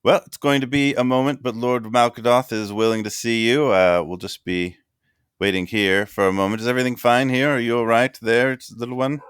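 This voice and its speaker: A man's voice: medium pitch, fairly even intonation, fairly quick, fairly bright tone, clean tone, no echo, studio quality, voice pushed up, lightly acted. A man voicing a polite, formal male court minister in a tabletop game, speaking courteously with small hesitations. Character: polite stiff male minister, middle-aged.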